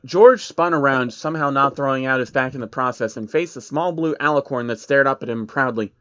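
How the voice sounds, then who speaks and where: A man's voice: medium pitch, brisk, fairly bright tone, smooth, no room sound, highs cut off. A man reading fan fiction aloud as an amateur story narrator.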